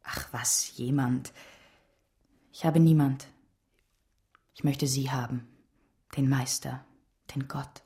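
A low, mellow, scheming baroness. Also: female voice, steady tone, slow, pauses often, exaggerated voice. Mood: seductive, pleading. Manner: breathy asides, coaxing, gushing. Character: flattering, seductive, scheming baroness. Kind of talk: German radio drama acting.

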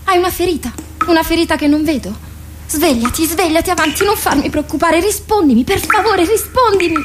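Casting: a worried, imploring sister, high voice, radio play, noisy track. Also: female voice, brisk. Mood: anxious, pleading. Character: anxious, pleading sister of a warrior woman. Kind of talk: radio drama acting.

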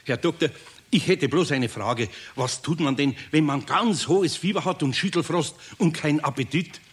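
A man's voice: fairly high pitch, normal speed, husky. An actor playing a kindly, flustered older craftsman with a Bavarian accent in a children's radio play, homely and often hesitant. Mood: worried. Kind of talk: 1970s German children's radio play acting.

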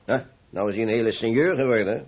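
An actor playing a proud, hot-tempered but good-humoured painter in a vintage radio play, with husky, blustering, earthy talk. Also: male voice, lowish voice, quick pace. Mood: soothing, rueful. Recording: muffled narrow-band old radio recording.

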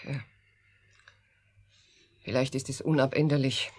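Eine Schauspielerin spielt in einem deutschen Hörspiel eine stolze, besorgte und entschlossene Wissenschaftlerin, ernst und mit Autorität. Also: female voice, very deep voice, fairly even intonation, measured pace, darkish tone, slightly rough, full character voice. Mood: earnest, troubled. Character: proud, troubled, resolute female scientist. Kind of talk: German radio drama acting.

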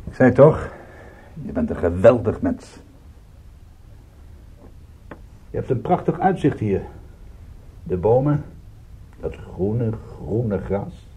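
An actor playing a world-weary, sardonic private detective with a husky voice in a radio play. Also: male voice, fairly low pitch, big pitch swings, slow, long pauses, warm tone, exaggerated voice. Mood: wry, weary. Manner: wry, sardonic, laconic. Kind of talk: radio drama acting with first-person narration.

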